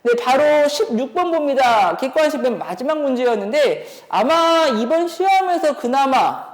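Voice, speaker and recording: A woman lectures as an instructor in a high-pitched voice with very lively intonation. She explains step by step, tossing out questions as she works through each point. The recording carries long room reverberation. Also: normal speed. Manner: explanatory, thinking aloud with rhetorical questions. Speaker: math academy instructor. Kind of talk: exam problem explanation lecture.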